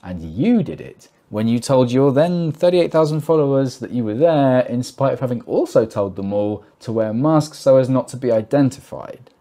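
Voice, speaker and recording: A man's voice: medium pitch, mellow. A man reading a scripted narration in a pointed, sarcastic, accusatory manner. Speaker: narrator of a call-out video.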